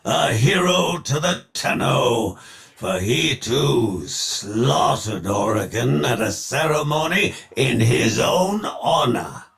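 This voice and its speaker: Grandiose game villain, older male magnate: gravelly and creaky, theatrical, lively melodic swings, commanding and ruthless. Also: medium pitch, exaggerated voice. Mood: sly, goading. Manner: pompous, declamatory, slow deliberate phrasing. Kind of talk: theatrical scripted video game dialogue.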